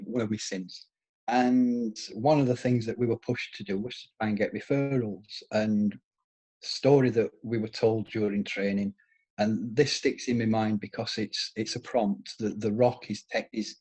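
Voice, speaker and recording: A man in his fifties with a Yorkshire accent softened by years in Scotland, rambling through anecdotes in a casual conversation with frequent restarts. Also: on the low side, husky, poor audio. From England, Yorkshire.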